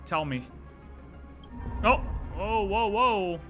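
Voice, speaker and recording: An excitable streamer giving casual live reactions to a game, slangy and full of exclamations. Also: mid-range voice, drawn-out words, faint music behind.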